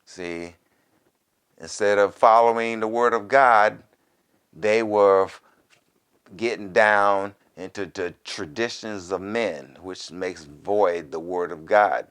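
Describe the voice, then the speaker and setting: A man, a minister, reading scripture deliberately in a preacherly way and adding quieter, conversational commentary. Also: on the low side, fairly even intonation, clean tone. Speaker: minister, Bible teacher.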